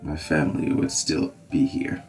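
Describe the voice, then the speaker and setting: An actor voicing a grave Scottish nobleman with a steady, reassuring manner. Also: a little slow, faint music behind, close to an everyday voice. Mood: solemn. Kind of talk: fan audio drama acting.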